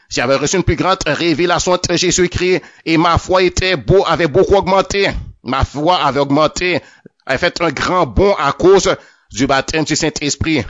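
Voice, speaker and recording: A man's voice: a little high, fairly bright tone, dry recording. A man giving a spoken religious testimony, often stammering over and repeating his words as he goes. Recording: dull lo-fi, dry.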